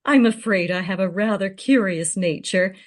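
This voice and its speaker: A woman's voice: medium pitch, normal speed, close to an everyday voice. An actress playing a curious, polite female visitor in a radio play, coy and inquisitive.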